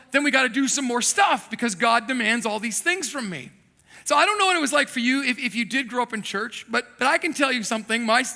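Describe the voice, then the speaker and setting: A man preaching a sermon as a pastor, telling animated, self-deprecating stories from his childhood in Thailand. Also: very high voice, gravelly. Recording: long hall reverb.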